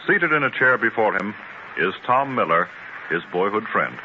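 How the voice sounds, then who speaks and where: Muffled vintage broadcast, male narrator, lively swooping intonation, formal, grandiose scripted storytelling. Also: on the low side, moderate pace. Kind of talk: scripted dramatic 1930s radio narration. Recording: muffled 1930s radio transcription, faint noise.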